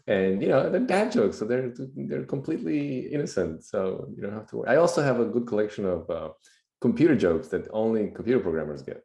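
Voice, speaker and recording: A man with a slightly raspy voice from a recent cold, explaining things plainly and casually as a podcast guest. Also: fairly low pitch, sing-song, fast, warm tone. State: just getting over a cold. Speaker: podcast guest, tech executive. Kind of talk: casual explanatory tech talk. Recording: roomy, echoey sound.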